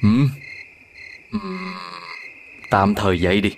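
A man voicing a knowledgeable, excitable dog father in a children's cartoon, explaining things in a fatherly way. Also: fairly low pitch, slow, slight background noise. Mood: thoughtful.

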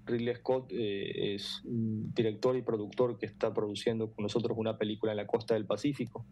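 A middle-aged man, explaining earnestly and haltingly as an interview guest on a video call. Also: lowish voice, little pitch movement, darkish tone, a little hiss or hum. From Ecuador. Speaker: foundation president, interview guest. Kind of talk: interview answers. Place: room indoors at home or office.

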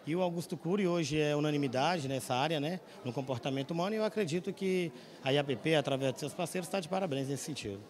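A man's voice: a little high, quick pace, hardly any pauses. A man speaking formally in an event interview, congratulating the organisers, into a handheld reporter mic.

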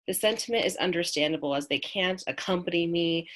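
A woman in her thirties with an American accent, reading her own personal essay aloud in a measured, reflective way over a webcam at home. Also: lowish voice. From United States. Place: small room at home, indoors. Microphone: webcam on a video call.

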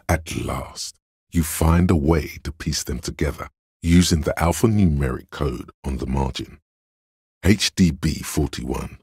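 A man voicing the cold, analytical inner voice of logic in a detective's mind for a video game, with measured, dry, condescending delivery and a very deep, gravelly, husky tone. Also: a little mellow, no room sound, full character voice. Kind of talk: video game narration in second person.

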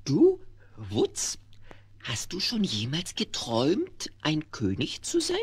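A man's voice: fairly high pitch, huge pitch swings, slow, breathy edge, exaggerated voice. A man voicing a childlike, petulant little dinosaur creature who stammers, in a 1970s children's radio play. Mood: excited, protesting.

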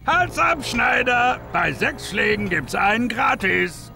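A man's voice: extremely high pitch, expressive, takes it slowly, creaky, over music, heavily acted. A man voicing a crazed, menacing alien thug in a cartoon video game, drawing words out.

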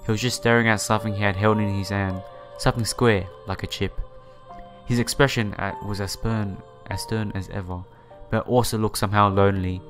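A man's voice: lowish voice, fairly even intonation, a little fast, a bit bright, faint music behind. A man hosting a let's play, reading a visual novel aloud with casual asides and stumbling now and then over the words. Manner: stumbles over read words and repeats phrases.

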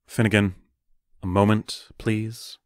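A stern male teacher acted by a man in an audio play. His voice is very low and closely recorded, and he scolds in a firm, measured manner. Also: level tone, no room sound.

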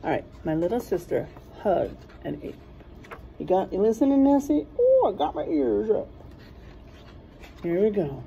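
A middle-aged woman reading a rhyming picture book aloud in a teacherly way, pausing to point out word pairs. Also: mid-range voice, very animated, vocal fry, dark tone, dull audio, a little hiss or hum. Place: small classroom, indoors.

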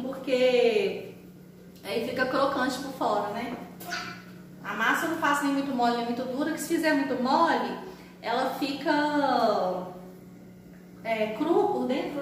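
A woman's voice: sits high, moderate pace, a little hiss or hum. A woman, chatting to viewers while cooking at home. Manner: chatty, talks to viewers while frying, laughs often. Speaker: cooking channel host. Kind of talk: casual home cooking vlog. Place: small home kitchen. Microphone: camera across the kitchen. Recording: echoey kitchen from far off.

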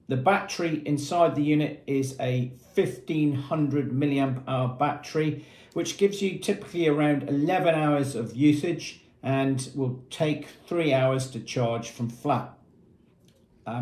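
A man's voice: mid-range voice, darkish tone. A man in his fifties giving a plain, methodical product walkthrough in short phrases, as an informal presenter. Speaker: product presenter for a marine equipment retailer. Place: small indoor room with painted block walls, seated at a table. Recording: echoey small room.

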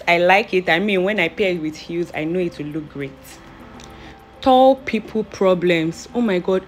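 A young woman with a Nigerian accent, narrating her clothing haul in a chatty, playful, self-amused way. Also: medium pitch. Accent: Nigerian English. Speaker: vlogger.

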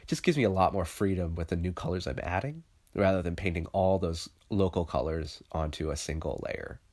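A man's voice: deep voice, brisk, darkish tone. A man with a stuffy, congested voice from a cold, talking through his digital painting process in a loose, thinking-aloud tutorial voice-over. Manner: thinking aloud, loose and conversational with many fillers. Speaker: illustrator teaching digital painting.

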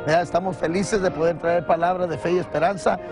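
A man's voice: sits high, steady tone, fast talker. A middle-aged man, a pastor, answering an interview question outdoors. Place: outdoors among a group of children. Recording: music and crowd behind voice.

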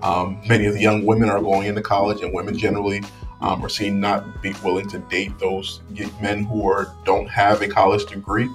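A man's voice: fairly low pitch, flat delivery, fairly quick, distant mic, soft background music. A man in his thirties giving casual commentary to camera on a studio mic in a small room, talking thoughtfully with many fillers and restarts. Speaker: vlogger. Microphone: studio mic on boom arm.

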